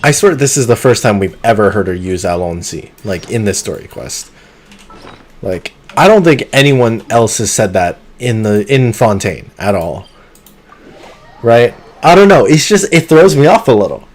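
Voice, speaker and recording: A man's voice: on the low side, big pitch swings, moderate pace. A man streaming a video game live, reacting casually and exclaiming at the story. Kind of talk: live gameplay commentary.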